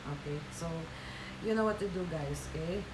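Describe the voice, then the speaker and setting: A woman's voice: fairly low pitch, normal speed, reverberant, noisy background. A Filipina woman with a Filipino accent, a Tagalog speaker, hosting a casual livestream and chatting with viewers with many fillers and restarts. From Philippines. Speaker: livestream host.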